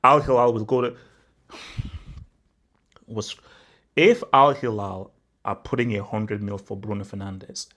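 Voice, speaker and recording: A man's voice: on the low side, measured pace, long pauses. A young man from Nigeria who hosts a football channel, giving casual, emphatic commentary, often saying "bro" and stacking up exaggerations. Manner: animated and emphatic, repeats "bro", spirals into exaggeration.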